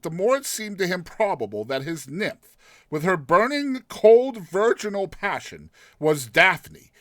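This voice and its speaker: A man narrating a literary short story in a theatrical, expressive storytelling manner. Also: on the high side, dry recording, studio quality.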